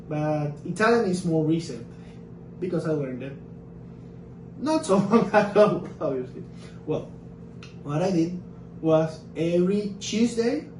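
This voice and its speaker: A man whose first language is Spanish, an English teacher and fluency coach, giving a casual lesson with energetic, emphatic repetition. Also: high-pitched, reverberant, far from the mic, noisy background. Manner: energetic, emphatic repetition, talks in short bursts.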